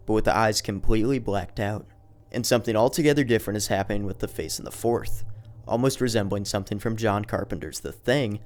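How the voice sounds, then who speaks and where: A man narrating a video essay in a conversational explanatory way, moving between scripted recap and casual commentary. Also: lowish voice.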